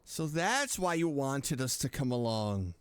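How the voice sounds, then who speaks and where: A man's voice: mid-range voice, big pitch swings, measured pace, a little hiss or hum. A man giving casual game commentary as a streamer, reacting aloud to the story.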